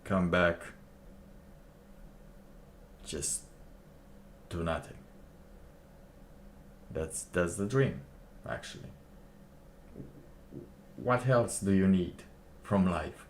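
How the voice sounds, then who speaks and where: A man with a very low voice, talking slowly as he reacts to a clip on stream. He muses aloud and chuckles to himself. A faint hiss sits under the recording. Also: animated. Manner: slow, musing, laughs to himself. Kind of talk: casual commentary.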